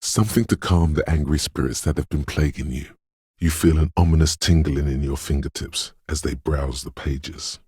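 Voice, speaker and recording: A man playing a detective's ominous, dreamy intuition in a video game, speaking in a hushed, portentous, theatrical way with dramatic pauses and a gravelly, husky voice. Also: rumbling low, no room sound, studio quality, exaggerated voice. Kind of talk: video game voice acting, second-person inner monologue.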